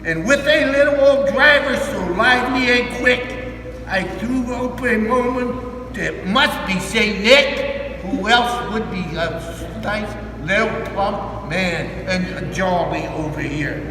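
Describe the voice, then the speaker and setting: An older man, a volunteer storyteller, reads a Christmas poem aloud to a group in an emphatic, halting way with simple asides. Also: sits high, pushed and bright. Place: large echoey indoor room, recorded from far off. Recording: echoey hall, distant mic, noisy background.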